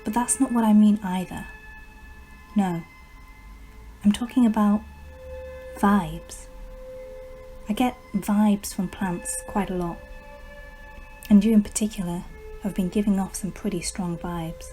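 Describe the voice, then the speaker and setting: A woman performs as a sinister, obsessive plant whisperer in a poetic radio-drama monologue. Her delivery is unhurried and hushed, with deliberate pauses and a soft, mellow tone. Faint music sits behind her. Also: mid-range voice, steady tone, natural voice, rough recording. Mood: ominous, intense.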